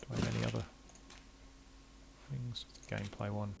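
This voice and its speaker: A man's voice: lowish voice, level tone, moderate pace, creaky, a little mellow, slight background noise, rough recording. A middle-aged man playing a video game, thinking aloud and reading out menus as commentary. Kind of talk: let's play commentary. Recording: faint game ambience behind voice.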